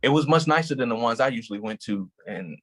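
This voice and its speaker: An actor reading the part of a calm male police officer who consoles a grieving woman and tells a childhood story in a measured way. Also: medium pitch, lightly acted. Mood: reflective. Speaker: actor in a staged play reading. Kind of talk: staged play reading.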